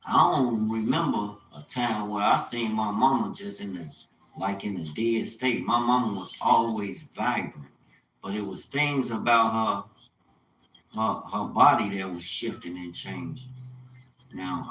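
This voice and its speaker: A man's voice: fairly low pitch, unhurried, fairly bright tone. A man in his fifties talking casually on a livestream, colloquial and rambling, often repeating phrases for emphasis. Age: 50s. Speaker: livestreamer, small business owner. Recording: echoey room with faint background noise.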